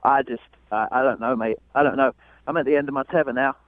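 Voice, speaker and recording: A man's voice: medium pitch, steady tone, fast, no room sound, narrow, tinny audio. A man from London with a London English accent, a caller on a radio show, speaking in exasperated, slangy British phrases and repeating himself. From London, England. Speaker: caller on a radio dating segment. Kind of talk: heated conversational phone-in.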